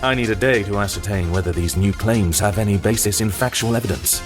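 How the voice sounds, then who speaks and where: A man acting a haughty, calculating prosecutor in a video game drama, formal, smug and deliberate. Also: lowish voice, close to an everyday voice. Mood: firm. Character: haughty, calculating male prosecutor, coolly superior. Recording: music clearly under the voice.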